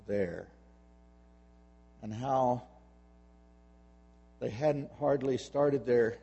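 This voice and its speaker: Gravelly, husky man telling a story. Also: mid-range voice, dark tone, dull audio. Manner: halting, repeats words while telling the story. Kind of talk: told personal story. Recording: long hall reverb.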